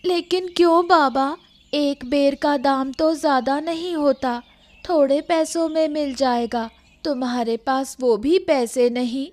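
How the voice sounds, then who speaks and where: Cartoon village girl, played by a woman: high, slow, coaxing, plaintive, persistent. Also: steady tone, a little mellow, no echo. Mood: coaxing, pleading. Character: pleading, persistent young village daughter. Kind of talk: Hindi moral-story cartoon dubbing.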